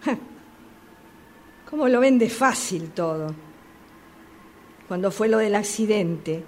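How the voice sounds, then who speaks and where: A woman's voice: on the high side, darkish tone. An actress playing a chatty, exasperated woman with a Rioplatense Argentine accent, gossiping and complaining in a radio play about a subway phone call. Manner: gossipy, exasperated, repeating herself. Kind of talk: radio theatre monologue acting. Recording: clearly noisy amateur workshop recording.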